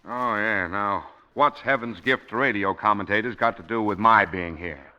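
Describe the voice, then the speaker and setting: A low-voiced, impatient police commissioner. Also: male voice, moderate pace, bright, forceful tone. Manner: brusque, dismissive. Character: gruff, skeptical, impatient male police commissioner. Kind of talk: 1940s radio drama acting. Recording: muffled old 1940s radio recording.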